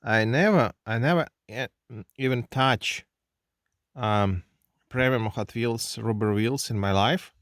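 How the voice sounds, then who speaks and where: Man, Russian accent, second-language English, slow and halting with fillers; toy reviewer in a dry, close desk recording. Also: medium pitch, a bit bright, dry recording. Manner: halting non-native English with many fillers. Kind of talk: hands-on unboxing review. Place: indoors at a desk.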